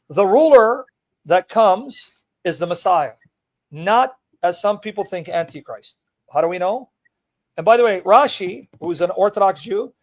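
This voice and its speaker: A middle-aged man hosting a call-in debate, blunt and teasing, rambling as he explains and fumbles with his setup. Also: high voice. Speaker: live-stream host debating callers.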